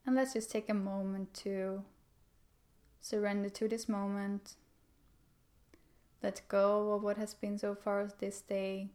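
Calm woman guiding meditation, even tone. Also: medium pitch. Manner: calm, gentle, unhurried with pauses. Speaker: meditation guide. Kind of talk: guided meditation instruction.

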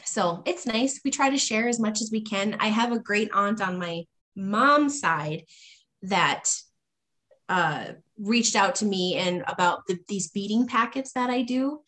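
A woman guest on a podcast telling stories in a relaxed, thoughtful way, often pausing with "um". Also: mid-range voice. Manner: thoughtful, hesitant with frequent ums. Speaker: interview guest. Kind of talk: casual conversational storytelling.

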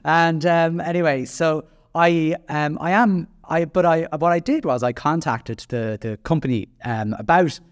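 A man's voice: on the high side, a little slow, few pauses, smooth, dry recording, clean studio sound. A man with an Irish accent hosting a solo podcast, chatty and self-deprecating, with frequent fillers and restarts. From Ireland. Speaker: podcast host.

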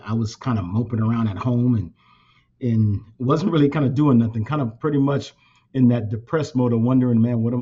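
A man's voice: medium pitch, dark tone. A man in his fifties, a native Spanish speaker raised in California, talking as a company president in a casual interview, with many fillers and restarts. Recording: dull lo-fi sound.

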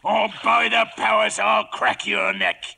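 Radio villain: wily old West Country sea rogue, high, gravelly, salty and wheedling, on a faintly noisy vintage recording. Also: male voice, wildly swooping pitch, measured pace, bright, forceful tone, heavily acted. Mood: urgent, threatening. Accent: stage West Country pirate. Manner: salty, wheedling sailor talk. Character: wily one-legged old male pirate, fond of a boy. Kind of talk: 1950s radio drama acting. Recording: old 1950s radio, faint noise, clicks.

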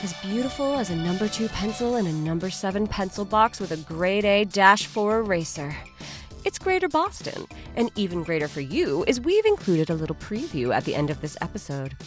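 A woman hosting a podcast with a scripted comedic promo, playful and theatrical with deadpan asides. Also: medium pitch, brisk. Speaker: podcast host. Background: faint music.

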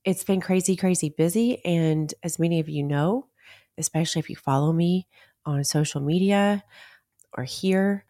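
Low raspy woman losing her voice. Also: warm tone, no room sound, studio quality. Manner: blunt, swears freely. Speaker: podcast host. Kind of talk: casual solo podcast talk.

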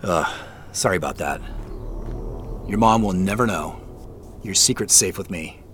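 A man's voice: sits low, a little slow, a bit bright, slight background noise. A man acting in an audio drama as an uneasy amateur sleuth, hesitant and dryly understated. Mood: apologetic. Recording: clear music bed underneath.

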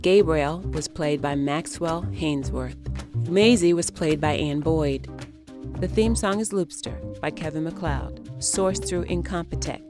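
A woman announcer with a low voice delivers scripted narration. Music plays clearly behind her as she reads. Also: a little slow. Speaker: announcer reading credits.

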